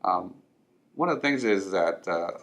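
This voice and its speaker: A man's voice: deep voice, lively intonation, measured pace, a bit bright. A middle-aged man who grew up in Mexico and speaks English as a second language, telling his personal story to the camera in an earnest way with frequent ums and restarts. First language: Spanish. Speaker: financial firm owner.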